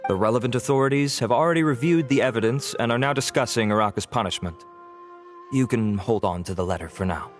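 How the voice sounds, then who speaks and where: An actor playing a measured, formal investigator who reports findings politely, in a game scene with faint music. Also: male voice, medium pitch, natural voice.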